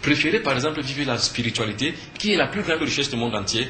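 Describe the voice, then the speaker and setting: A man with a Cameroonian French accent speaks in a sharp, bright voice at a middle pitch. He explains emphatically, posing questions and answering them like a lecturer. The sound is echoey, with faint noise behind him. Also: animated, quick pace. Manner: didactic, emphatic, asks and answers own questions. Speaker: spiritual teacher and conference speaker, interview guest. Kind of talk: earnest explanatory talk in a TV interview.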